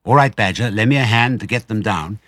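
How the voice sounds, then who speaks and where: A man's voice: on the low side, a little fast, a bit bright, dry recording, studio quality. A man doing a sly, jovial fox father. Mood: delighted, generous. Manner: theatrical, coaxing, self-assured. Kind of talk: audiobook character reading.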